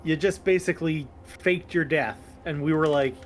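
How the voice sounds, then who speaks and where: A man's voice: fairly high pitch, moderate pace, slight background noise. A man playing a tabletop roleplaying game, talking casually and half in character in an amused, hesitant way. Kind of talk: casual improvised in-character chat.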